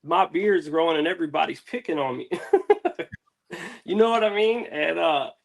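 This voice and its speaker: A man's voice: fairly high pitch, moderate pace, vocal fry. A man chatting casually as an interview guest, laid-back, slangy and rambling. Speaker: interview guest, music artist. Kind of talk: casual chat.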